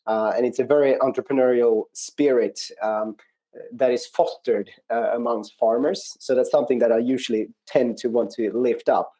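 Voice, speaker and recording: A man with a Swedish accent, speaking conversationally as an interview guest, in a reflective, hesitant way with frequent pauses. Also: mid-range voice, fairly even intonation, warm tone. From rural Sweden, outside Norrköping. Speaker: interview guest, non-profit country manager. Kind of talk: conversational personal account in an interview.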